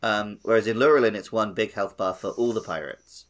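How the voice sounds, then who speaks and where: A man's voice: fairly low pitch, brisk. A man co-hosting a podcast, talking about a video game in an informal, conversational way. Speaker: podcast co-host. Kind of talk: casual video game discussion.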